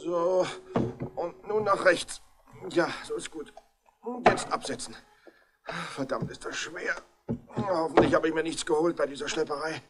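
A man's voice: a little high, measured pace, pauses often, close to an everyday voice. A man playing a self-pitying, grumbling hypochondriac in a 1960s German radio crime drama, plaintive and fussy. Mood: straining, complaining. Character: whining hypochondriac son-in-law, put-upon, grumbling, self-pitying.

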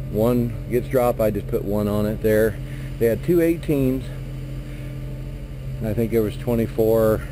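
A middle-aged man, a truck driver, walking around his trailer outdoors in a yard and explaining his work in a relaxed, plain-spoken way into a handheld action camera. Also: fairly low pitch. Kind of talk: casual vlog walkthrough. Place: outdoor industrial yard beside a flatbed trailer. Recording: noisy outdoor camera audio.

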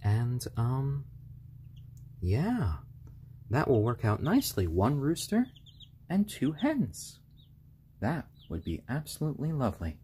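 Slightly hoarse man with very lively pitch. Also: slow, long pauses. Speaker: live stream host. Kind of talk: casual chat on a live stream, with cooing to pets. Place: room in a home, indoors. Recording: clear background noise, bird sounds.

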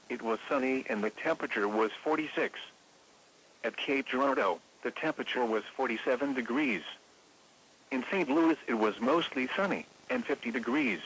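A synthetic man's voice with an American accent reads scripted weather forecasts as a radio announcer, measured, impersonal and formulaic. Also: mid-range voice, a bit bright. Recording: noisy weather radio broadcast reception.

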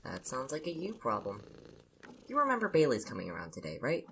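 Bright, very animated, teasing young housemate, acted out. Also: mid-range voice, a little fast, creaky, a little hiss or hum, heavily acted. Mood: exasperated. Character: teasing, practical, scatterbrained young housemate Salem. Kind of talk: casual audio drama dialogue.

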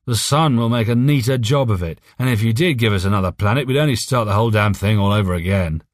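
A drunk, morbid scientist from an audiobook tale, acted out by a male narrator, rambles on with smug, pitying sarcasm. His speech is cynical and loose, lifted in pitch. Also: fast, no echo, clean studio sound, lightly acted. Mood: morbid, bitter.

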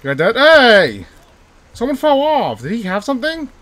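A man's voice: very high-pitched, wildly swooping pitch, moderate pace, fairly bright tone, a little hiss or hum. Male let's-play host, casual and self-mocking, repeats phrases under pressure. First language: not English, by his own joke. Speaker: gaming YouTuber.

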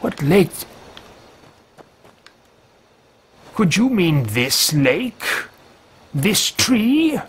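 A man's voice: a little high, fairly bright tone, husky, heavily acted. A man voicing a formal male mage adventurer who mutters to himself in stately phrases while roleplaying a game. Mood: curious.

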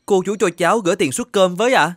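High-voiced, shy young male student. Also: fast talker, fairly bright tone, no room sound. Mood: shy, polite. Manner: hesitant, deferential. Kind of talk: animated moral-tale dubbing.

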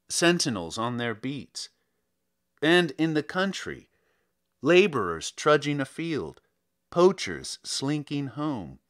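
A man narrating literary fiction in a theatrical, deliberate way, drawing out phrases with pauses between them. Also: medium pitch, fairly bright tone. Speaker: narrator reading a short story.

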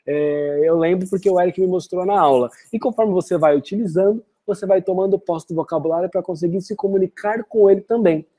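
A Brazilian-accented man teaches English phrases in a screen-recorded lesson. His voice is dark and mellow with a breathy, husky edge. He speaks the example sentences slowly and carefully before translating them. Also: high voice. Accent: Brazilian Portuguese. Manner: slow, deliberate model phrases alternating with translations.

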